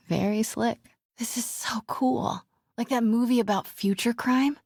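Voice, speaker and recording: Breathy, wry young sleuth. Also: female voice, fairly high pitch, fairly even intonation, takes it slowly, no echo, studio quality. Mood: focused, stealthy, then playful, amused. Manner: wry, hushed self-talk. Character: wry, curious young woman reporter sneaking around, quick-witted. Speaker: video game voice actor. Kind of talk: video game character dialogue, muttering to herself while sneaking.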